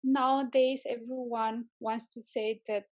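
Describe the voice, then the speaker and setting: Female interviewee, higher pitch, dry sound, casual, hesitant but keen delivery. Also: level tone, a little slow, a little mellow, no echo. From Romania. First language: Romanian. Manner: hesitant, searching for words, enthusiastic. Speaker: interview guest, tech manager.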